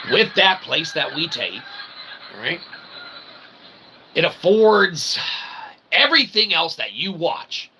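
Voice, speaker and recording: A man's voice: a little high, gravelly, pushed and bright, slight background noise, rough recording. A man hosting a web show in a rambling, casual, self-deprecating monologue, folksy and full of tag questions.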